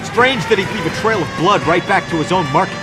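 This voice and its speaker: A man's voice: high-pitched, big pitch swings, fairly quick. A man playing an earnest, probing police detective in a 1940s video game, driven and intense. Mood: doubtful. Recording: loud music and car engine behind. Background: loud music, vehicle noise.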